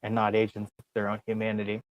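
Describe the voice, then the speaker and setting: A man's voice: on the low side, monotone, fast, dry recording, lo-fi sound. A man hosting a casual podcast interview, reflecting back and rephrasing what his guest says. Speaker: podcast host.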